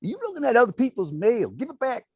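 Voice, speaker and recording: A man's voice: extremely high pitch, wildly swooping pitch, fast, a little mellow, breathy edge, exaggerated voice. A man reading the part of an elderly neighbor at a table read, mock-polite and needling.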